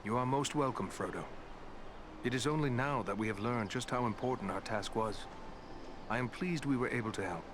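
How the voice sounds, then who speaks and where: Guy doing earnest ranger hero for a fantasy game, formal n polite, medium pitch, noisy background. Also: a little fast, lightly acted. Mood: gracious. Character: male human ranger of the North, earnest, courteous. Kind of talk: fantasy video game character acting. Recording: clear background ambient noise.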